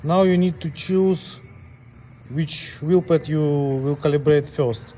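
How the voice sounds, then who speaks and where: Higher-voiced man giving step-by-step instructions, mellow tone. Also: slow, a little hiss or hum. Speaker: scale technician demonstrating calibration. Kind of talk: hands-on tutorial, unscripted step-by-step instructions.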